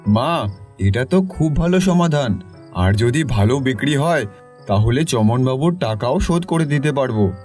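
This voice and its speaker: A man's voice: medium pitch, expressive, a little slow, darkish tone, close to an everyday voice. Humble hardworking young son for a cartoon, sincere male voice acted, light music underneath. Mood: hopeful. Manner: earnest, pleading. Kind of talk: cartoon dialogue.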